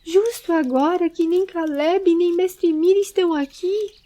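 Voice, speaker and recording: Mulher fazendo pequenino medroso de voz bem aguda. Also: female voice, extremely high pitch, a little slow, warm tone, voice pushed up. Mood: com medo. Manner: exclamatory, anxious, eager. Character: small excitable, fearful young male forest creature.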